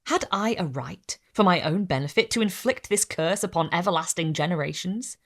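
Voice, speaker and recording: A woman hosting a candlelit reading series, reading a gothic novel aloud in a theatrical way and sometimes dropping to a hush. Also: medium pitch. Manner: theatrical, hushed drops to a whisper. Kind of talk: dramatic reading of gothic fiction.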